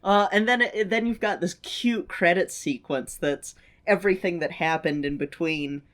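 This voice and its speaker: A woman co-hosting a podcast, chatting casually about a film in a halting, thinking-aloud way. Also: lowish voice. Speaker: podcast co-host.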